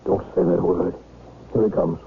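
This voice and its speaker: An actor playing a nervous, secretive gentleman with formal, halting, emphatic delivery in an old-time radio drama. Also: low-pitched, steady tone, creaky. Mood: nervous, grave. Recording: lo-fi radio broadcast, faint noise.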